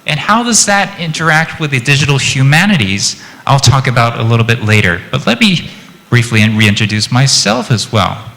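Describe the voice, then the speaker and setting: A Japanese man whose English carries an American lilt from a childhood abroad, presenting at a conference. He talks in a lively, chatty way and jokes with the audience. His voice is bright and forceful, sitting at a middle pitch. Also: moderate pace, creaky, long reverb tail. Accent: American-influenced English. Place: conference hall.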